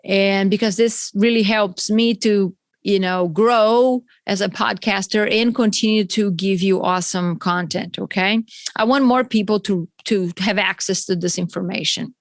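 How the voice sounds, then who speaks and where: A woman's voice: mid-range voice, measured pace, no echo, studio quality. A woman with a Brazilian accent hosting a solo health podcast, chatty and explaining terms with friendly asides. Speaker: podcast host.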